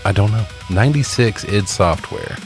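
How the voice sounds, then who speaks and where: Deep voiced dude bantering casually, swears a lot, guitar music playing behind. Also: male voice, lively intonation, normal speed. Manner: jokey, sweary, informal. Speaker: co-host. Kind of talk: casual banter and quizzing about movies and games.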